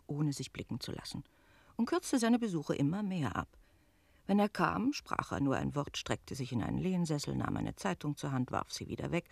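A woman's voice: sits low, gravelly, husky, no echo, full character voice. An actress playing a harried, talkative female innkeeper who tells a tale theatrically in a radio play. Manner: expressive, switching between narrating and brusque replies.

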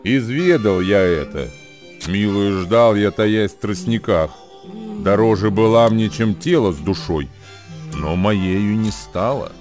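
Brief: worldly old-world sage, low register, declamatory radio verse recitation, music underneath. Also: male voice, takes it slowly. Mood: knowing, rueful.

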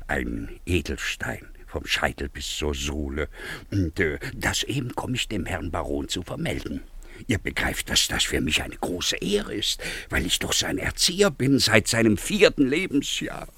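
Pompous, husky, swooping old-style tutor. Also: male voice, on the low side, fairly bright tone, no room sound, exaggerated voice. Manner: pompous, florid. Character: pompous, thirsty male tutor, boastful and wine-loving. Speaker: actor. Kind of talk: theatrical German radio play acting.

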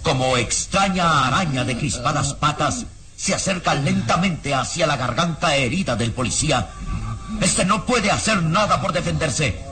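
A man's voice: on the high side, expressive, normal speed. A man narrating an old radio serial in a grave, suspenseful, theatrical manner. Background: dramatic music.

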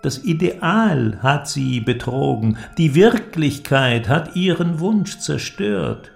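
An actor playing an eloquent, persuasive inventor in a radio drama, with a declamatory, deliberate delivery and ornate phrasing. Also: male voice, on the high side, expressive, gravelly, faint music behind, exaggerated voice. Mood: rhetorical, urging. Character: eloquent visionary male inventor, grandiose and persuasive. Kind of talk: German literary radio drama acting.

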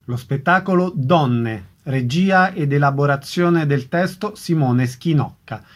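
Male radio host, fairly high voice, introductions and interview questions. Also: slow pace.

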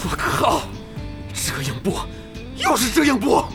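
A man's voice: very high voice, wildly swooping pitch, a little slow, music in the background, heavily acted. An actor playing an eager, nervous young male rookie gamer in an audio drama. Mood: flustered. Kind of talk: Chinese audio drama acting.

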